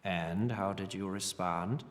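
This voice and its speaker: A man's voice: deep voice, slow pace, big-room sound. An actor playing a cruel, smug young nobleman in an audio drama, speaking in a haughty, formal, menacing way.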